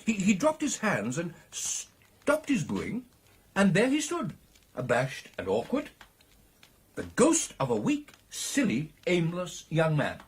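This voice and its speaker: An actor playing an excitable British club gentleman, telling his tale in a theatrical, hesitating and emphatic radio drama style with a husky voice. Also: high-pitched, slow, heavily acted. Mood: animated, amused. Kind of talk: dramatic storytelling in a radio play.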